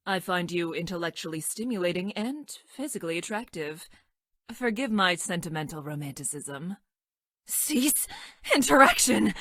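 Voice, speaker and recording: A woman voicing a stranded time-traveling scientist in a video game, clinical and detached, speaking in stilted, formal, technical language with a deadpan delivery. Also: mid-range voice, dry recording, studio quality, natural voice. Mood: clinical fondness, then flustered, agitated. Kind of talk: scripted video game character lines.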